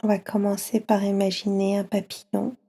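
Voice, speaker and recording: A woman with a French accent guiding children through a slow yoga nidra relaxation, speaking softly and soothingly with long pauses. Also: mid-range voice, completely flat delivery, slightly rough.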